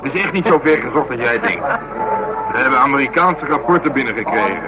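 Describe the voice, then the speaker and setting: A man's voice: medium pitch, animated, fast, over loud music, close to an everyday voice, rough recording. Man acting a blunt police detective. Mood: insistent, earnest. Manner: colloquial, gruff, argumentative. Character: blunt, burly male police detective, coarse and stubborn. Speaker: radio actor. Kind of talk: naturalistic radio play dialogue.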